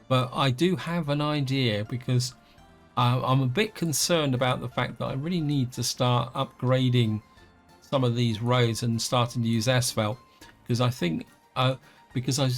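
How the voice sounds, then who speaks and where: Wheezy man, gravelly voice. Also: mid-range voice. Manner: rambling, self-correcting, thinking aloud. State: wheezy from an allergic reaction. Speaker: let's play host. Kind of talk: casual gameplay commentary.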